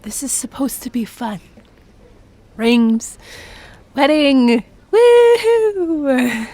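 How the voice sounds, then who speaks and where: A woman's voice: high-pitched, lively intonation, extremely slow, mellow, no echo, exaggerated voice. A woman playing a feisty, flippant young friend in a comedic audio drama, blunt and teasing.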